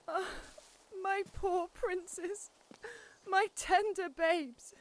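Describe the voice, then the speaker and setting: A woman's voice: very high voice, steady tone, extremely slow, full character voice. A woman playing a widowed queen in an audio drama, lamenting her lost children in a pleading voice. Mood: grieving. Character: grieving widowed queen mourning her sons. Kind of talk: Shakespearean audio drama acting.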